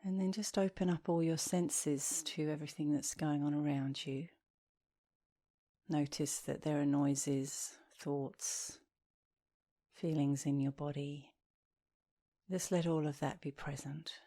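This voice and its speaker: A woman leading a guided meditation as an instructor, speaking softly and soothingly, with gentle, breathy phrase endings. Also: deep voice, fairly even intonation. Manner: soothing, gentle, unhurried with long pauses.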